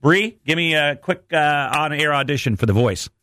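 A man's voice: a little high, expressive, normal speed, pushed and bright, a little hiss or hum. A man hosting a radio morning show, bantering casually with callers in a live call-in segment.

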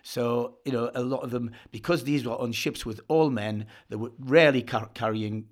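A man's voice: mid-range voice, steady tone, moderate pace. An older man, reminiscing in an interview and often repeating words as he tells his stories. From Northeast England. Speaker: folk singer of sea shanties.

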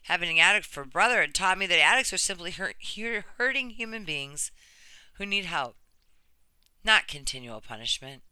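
A woman in her thirties reading a scripted first-person personal story in an earnest, deliberate way with emphatic phrasing. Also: on the low side, lively intonation, sharp tone, clean tone, dry recording, rough recording. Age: 30s.

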